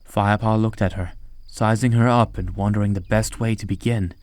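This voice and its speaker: A man's voice: lowish voice, fairly even intonation, brisk, a little mellow, dry recording. A man narrating an audiobook story from a script.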